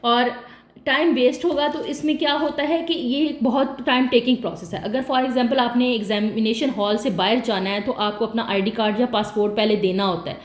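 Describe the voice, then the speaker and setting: A woman talks through exam tips in a casual, explanatory way, often posing a question and then answering it herself. Her voice sits a little high. The room around her is echoey. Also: steady tone, moderate pace. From Pakistan. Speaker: test-prep tutor. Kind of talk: casual spoken tutorial.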